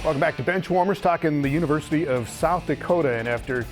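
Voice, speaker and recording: A man's voice: fairly high pitch, quick pace, loud music behind the voice. An American man hosting a sports talk show, introducing segments and putting questions to guests.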